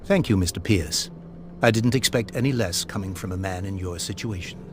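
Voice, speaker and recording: An actor playing a proud, grieving old industrialist in a period mystery game, speaking formally and earnestly. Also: male voice, low-pitched, brisk, lightly acted. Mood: solemn, urgent. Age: older. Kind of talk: video game voice acting, period mystery drama. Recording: faint background noise.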